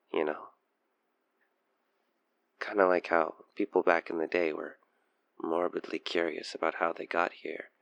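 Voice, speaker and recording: Low-voiced obsessed stalker killer, acted by a man. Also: gravelly, fairly bright tone, no room sound, heavily acted. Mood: obsessive, intimate. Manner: theatrical, teasing, slipping between murmurs and whispers. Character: obsessed male stalker killer, playfully menacing, infatuated, taunting. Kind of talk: intimate audio roleplay monologue.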